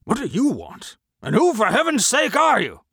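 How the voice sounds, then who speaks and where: A man's voice: very high-pitched, huge pitch swings, normal speed, husky, dry recording, studio quality, voice pushed up, heavily acted. A man putting on a scornful rich merchant. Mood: irritable. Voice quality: sharp and scornful, sly at the end. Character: sickly, sneering rich old merchant.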